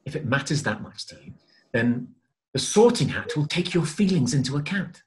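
A man's voice: fairly high pitch, animated, normal speed, close to an everyday voice, rough recording. A middle-aged actor playing an earnest, reassuring wizard father in a stage drama table read on a video call. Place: room at home, video call, webcam.

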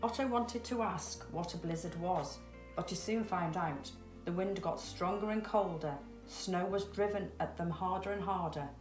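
Lower-pitched woman reading in an echoey room. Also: moderate pace, a little mellow, soft background music. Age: middle-aged. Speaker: storybook reader. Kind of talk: expressive children's story narration. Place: small room at home, indoors.

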